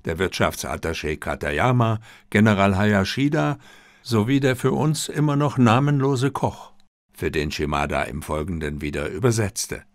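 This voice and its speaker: Expressive male audiobook narrator, lively intonation. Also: lowish voice, no echo. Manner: measured, expressive storytelling. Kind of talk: scripted first-person story narration.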